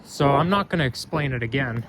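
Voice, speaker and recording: A young man with a loud voice, rambling and correcting himself as he talks through a casual walking vlog, recorded on a phone camera outdoors. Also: medium pitch, lively intonation, a little fast. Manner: rambling, chatty, self-correcting. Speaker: vlogger. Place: outdoors on a street. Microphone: phone camera held while walking. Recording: outdoor street noise.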